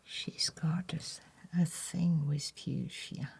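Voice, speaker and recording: A woman playing a shy, courteous village writer in a soft-spoken ASMR roleplay, hesitant and gentle, with a breathy whisper. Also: sits low, extremely slow, full character voice. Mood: helpful, gentle. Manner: hesitant, gentle, politely formal.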